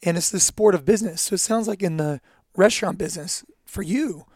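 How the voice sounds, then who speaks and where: A man hosting an interview aimed at gym owners, asking questions in a quick, eager way. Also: sits high, darkish tone, dry recording, clean studio sound. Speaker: podcast host for gym owners. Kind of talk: interview host.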